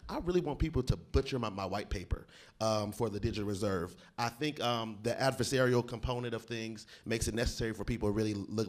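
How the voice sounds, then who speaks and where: A man moderating a panel, affable and a little scattered, correcting himself as he goes. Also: mid-range voice. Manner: quick, affable, self-correcting.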